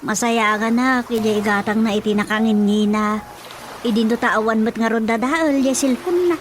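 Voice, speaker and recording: A woman playing a fretful high-voiced Ilocano mother. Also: normal speed, soft background music, natural voice. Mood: exasperated, coaxing. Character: Rosa's mother, a fretful, thrifty rural wife. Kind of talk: Ilocano radio drama comedy acting.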